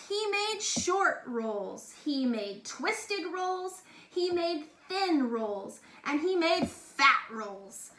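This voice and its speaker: A woman teacher narrating a children's storybook expressively and emphatically, speaking directly to young children. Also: high-pitched. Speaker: teacher reading aloud to children. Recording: echoey room with faint noise.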